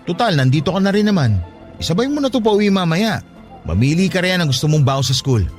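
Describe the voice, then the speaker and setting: A man's voice: high voice, big pitch swings, fast talker, faint music behind. A man playing a defensive, exasperated poor father with a brusque, argumentative manner in a radio drama. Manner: brusque, argumentative, self-justifying. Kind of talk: radio drama acting in Tagalog.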